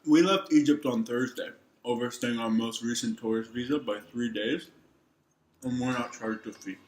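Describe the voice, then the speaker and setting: A man's voice: medium pitch, steady tone, normal speed, lightly acted. Guy acting out a plain-spoken traveler's email, staged reading, echoey room, bit of noise. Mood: matter-of-fact. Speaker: performer in a dramatic reading of emails.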